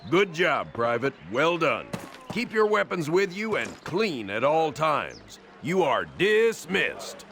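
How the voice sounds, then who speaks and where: An American army training instructor from a video game, with a fairly high male voice and very lively pitch. He is brisk, folksy and commanding. Clear noise sits around the voice. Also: heavily acted. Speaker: drill instructor. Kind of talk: video game tutorial dialogue.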